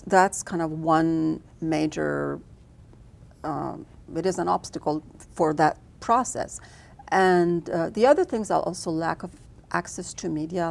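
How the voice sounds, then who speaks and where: A woman filmmaker from Lebanon answers interview questions in a fairly low, gravelly voice. She speaks thoughtfully and often restarts her sentences. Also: a little mellow. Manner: thoughtful, frequent restarts and fillers. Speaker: filmmaker guest.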